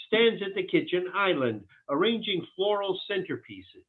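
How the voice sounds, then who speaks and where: A man's voice: high-pitched, sing-song, moderate pace, breathy edge. A man reading stage directions aloud as scripted narration for a table read. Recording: thin, phone-like audio.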